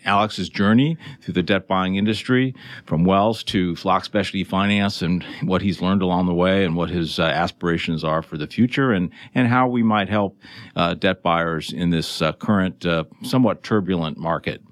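Deep-voiced male radio host, close and dry. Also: moderate pace, dry recording. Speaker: radio show host and interviewer. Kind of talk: business radio interview.

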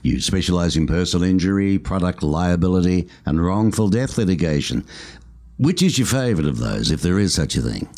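A man's voice: sits low, expressive, a little fast, darkish tone. A man hosting a radio interview show, folksy and joking with his guests. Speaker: talk show host.

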